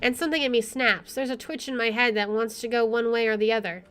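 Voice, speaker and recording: A woman's voice: a little high, fairly even intonation, fast, a bit bright, smooth. A woman streamer reading a visual novel aloud and adding casual commentary. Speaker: let's play streamer. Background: faint game music.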